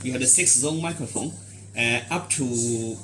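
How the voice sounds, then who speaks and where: A man giving an unscripted product demonstration, explaining in a halting, repetitive way with non-native phrasing. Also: mid-range voice, music in the background, rough recording.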